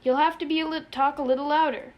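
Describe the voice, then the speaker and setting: A woman's voice: high-pitched, fairly even intonation, fast talker, dull audio, pitched above the performer's own voice, close to an everyday voice. A woman voicing a placid, oblivious male navigator in an audiobook, innocent and mildly perplexed. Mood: innocent puzzlement.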